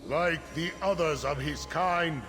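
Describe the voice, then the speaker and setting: High-voiced, pompous cult leader, slow. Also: male voice. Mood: grandiose, exultant. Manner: grandiose, deliberate oratory. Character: pompous zealous male cult leader addressing followers. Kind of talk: ceremonial speech in fantasy game. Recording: long hall reverb, music behind.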